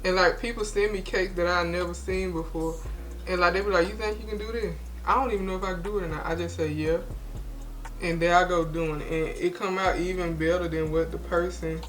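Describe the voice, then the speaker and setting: A woman's voice: lowish voice, fairly even intonation, brisk, a bit bright. A woman, chatting casually to her camera while doing her makeup at home. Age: 20s. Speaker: beauty and faith vlogger. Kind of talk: casual get-ready-with-me chat. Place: home, at a mirror.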